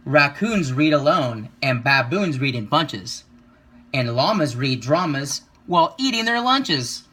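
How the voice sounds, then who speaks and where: Bright-voiced man reading a children's story. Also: on the high side, sing-song, unhurried, slight background noise. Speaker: reader of a children's picture book. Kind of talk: children's story read-aloud.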